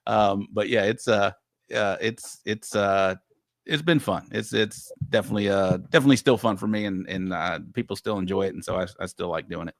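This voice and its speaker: A low-pitched man from Texas talks loosely over a video call, circling back with restarts and quick laughs. His voice sits fairly deep, and the sound is dry and close, with no room around it. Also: dry recording. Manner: rambling with many restarts, laughs easily. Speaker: guest podcast host. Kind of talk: casual livestream chat. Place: home office.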